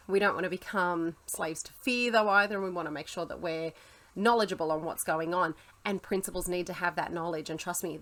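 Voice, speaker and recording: A woman's voice: fairly low pitch, fairly bright tone. A woman talking to camera in a casual, reassuring advice vlog for teachers, chatty with the odd laugh. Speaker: teacher vlogger.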